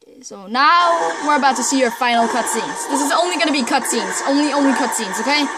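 A young woman giving casual, rambling commentary while playing a video game, with many pauses and fillers. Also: sits high, lively intonation, heavy background noise. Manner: chatty, rambling with many ums and laughs. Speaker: gamer playing through a game. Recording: talking over loud game music.

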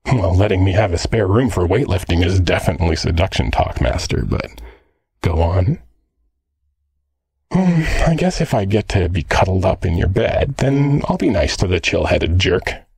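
A man's voice: low voice, expressive, moderate pace, creaky, a little mellow, husky, no echo, heavily acted. A man playing a teasing, jealous, slangy android boyfriend in an ASMR roleplay. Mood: jealous, sulky. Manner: cheeky, slangy, sardonic.

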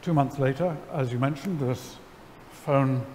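A middle-aged man, seated on stage, telling a story to an audience in a wry, animated way, full of ums and restarts. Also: medium pitch, measured pace, slight background noise. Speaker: lecture guest. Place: large church sanctuary before an audience. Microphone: headset mic. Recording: hall reverb, faint ambience.

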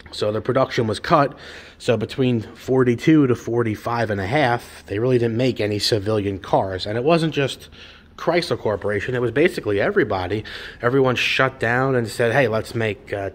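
A middle-aged man, a classic car parts dealer who chats and rambles with enthusiasm while he films a walkaround in a large repair garage. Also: on the low side. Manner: chatty, enthusiastic, rambling with restarts. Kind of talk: casual walkaround video narration.

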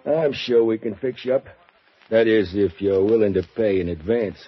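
An actor playing a sarcastic desk clerk at a cheap hotel, needling and sly, on an old radio drama recording. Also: male voice, fairly low pitch, normal speed, breathy edge, highs cut off, faint music behind. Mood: mocking. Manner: sardonic, needling.

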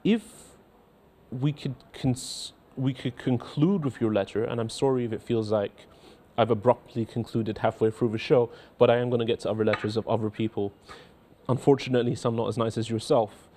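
A man's voice: mid-range voice, fairly even intonation, dark tone, slight background noise. Earnest man with a faint Scottish accent. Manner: measured, earnest, repeats words when starting sentences. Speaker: cleric answering viewer letters. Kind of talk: studio talk show, reading letters aloud. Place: indoor TV studio.

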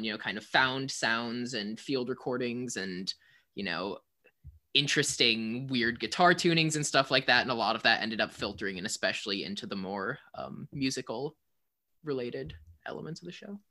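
A man's voice: medium pitch, sharp tone. A man in a casual panel discussion, talking in a rambling, hedging, thoughtful way as a sound designer. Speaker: co-composer and sound designer of a theater show.

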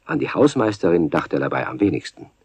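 A man's voice: deep voice, sing-song, quick pace, gravelly, a little mellow, breathy edge, dry recording. A man narrating a scripted children's radio story as the storyteller.

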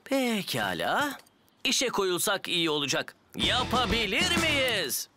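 A man's voice: sits high, wildly swooping pitch, slow pace, sharp tone, soft background music, full character voice. A man voicing a confident, friendly builder and team leader in a children's cartoon. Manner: confident, instructive. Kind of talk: children's cartoon voice acting, Turkish dub.

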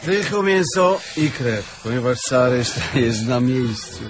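An actor playing a mock-solemn chorus figure in a radio drama, declaiming. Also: male voice, medium pitch, animated, unhurried, slight background noise, lightly acted. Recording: music under the voice.

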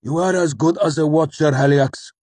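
A man's voice: on the low side, expressive, takes it slowly, breathy edge, dry recording, voice pushed up, heavily acted. A man voicing a cruel but servile henchman in a fantasy story, with a strained, gasping voice. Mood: snapping, arrogant. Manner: choked, pleading, submissive.

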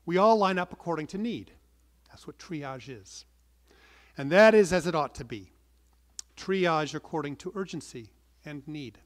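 A middle-aged man preaching a sermon from the pulpit, earnest and measured, stressing some words and letting phrase endings fall soft. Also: on the high side. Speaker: pastor. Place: large wood-panelled church, indoors. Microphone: pulpit microphone. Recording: long church reverb.

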